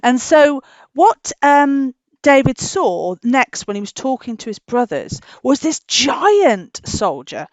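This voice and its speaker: A woman hosting a children's storytime, telling a tale informally in simple, animated explanations. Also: high-pitched, takes it slowly, dry recording, dull audio. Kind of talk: informal storytelling to a puppet dog.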